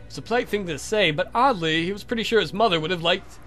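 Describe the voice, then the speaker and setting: A woman's voice: on the low side, fast, fairly bright tone, soft background music. A woman hosting a let's play, reading a visual novel aloud with irreverent, sweary casual asides.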